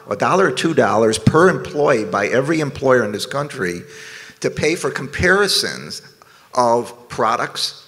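A man on a conference panel, explaining in a measured way. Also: medium pitch, fairly bright tone, big-room sound. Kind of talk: panel discussion. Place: conference ballroom stage.

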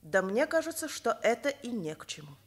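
An actress playing a rigid, principled older schoolteacher, emphatic and lecturing, in Soviet radio theatre. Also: female voice, a little high, very animated, brisk. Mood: cold, firm.

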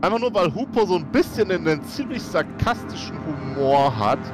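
A man's voice: a little high, lively intonation, normal speed. A man playing in a tabletop role-playing session over a group video call, talking casually and in character. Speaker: tabletop role-play player. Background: music.

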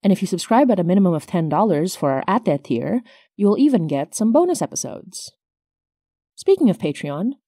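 A woman's voice: fairly low pitch, warm tone, no echo, clean studio sound. A woman hosting her podcast, reading scripted announcements as its creator.

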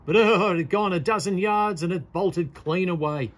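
A man's voice: sits high, moderate pace, slight background noise. A man reading a picture-book poem aloud as a storyteller, in a theatrical, dramatic style. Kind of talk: expressive read-aloud poetry recitation. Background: birdsong.

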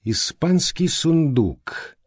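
A man voicing a courteous, thoughtful Belgian detective in an audiobook, polite and deliberate. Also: on the high side, big pitch swings, breathy edge, no echo, clean studio sound, voice pushed up, exaggerated voice. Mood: polite, inquisitive.

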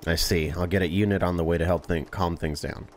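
A man's voice: low voice, steady tone, brisk, a little hiss or hum. A young man playing a game, casually reading dialogue aloud in a blunt way. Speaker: gameplay streamer. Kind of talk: game playthrough, reading dispatcher lines aloud with reactions.

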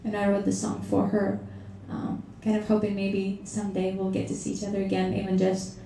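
A young woman from Russia, speaking softly and warmly as she introduces a song at a live studio session. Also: mid-range voice, monotone, a little fast, a little hiss or hum. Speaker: singer-songwriter. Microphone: stand mic. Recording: roomy studio sound, mic slightly far.

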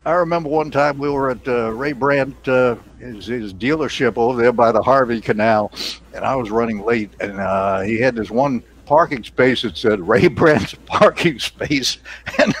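A man's voice: mid-range voice, measured pace, breathy edge. An older American man hosting a casual chat, reminiscing in a rambling, chuckly way into a headset mic on a video call. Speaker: radio show host. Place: indoor home studio on a video call. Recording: noisy home video-call audio.

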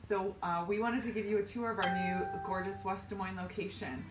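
A woman's voice: mid-range voice, fairly even intonation, brisk, recorded from a distance, loud music behind the voice. A woman, chatty and bubbly, giving a casual live tour of a small clinic while walking. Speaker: physical therapy clinic owner giving a tour. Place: small clinic indoors, hallways and treatment rooms. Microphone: phone camera held by another person. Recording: roomy phone audio from a few feet off, faint hum.

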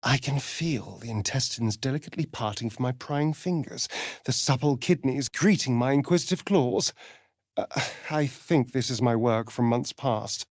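An actor playing a sly, vain vampire rogue in a video game, with a theatrical, arch, drawling delivery. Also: male voice, mid-range voice, normal speed, a little mellow, no echo, clean studio sound, lightly acted. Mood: arch, wry.

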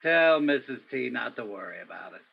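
A man playing a brooding, world-weary Broadway police detective in a radio play, with a measured, pensive, dramatic delivery. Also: medium pitch, animated, creaky, slightly rough, full character voice. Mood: courteous, probing. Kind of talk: radio play acting with poetic noir narration. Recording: dull, muffled lo-fi audio.

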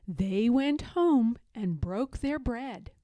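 A woman reading a children's picture book aloud in a deliberate, expressive storytelling manner. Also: on the high side, no echo, clean studio sound. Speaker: storybook reader.

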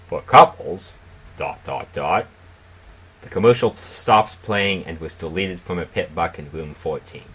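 A man presenting and reading fan fiction aloud in a deliberate, mock-dramatic way. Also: on the low side, a bit bright, husky, rough recording. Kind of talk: casual intro and dramatic reading.

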